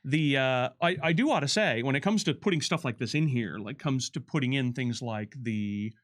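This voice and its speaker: A man's voice: mid-range voice, moderate pace. A man giving casual, unscripted commentary as a game developer, often starting a sentence over as he explains things.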